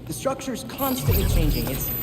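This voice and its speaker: Nerdy young American sidekick, lively pitch. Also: male voice, normal speed, over loud music. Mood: earnest, puzzled. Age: young adult. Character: nerdy earnest young male vigilante sidekick. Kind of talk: video game cutscene acting. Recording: echoey hall with loud score behind.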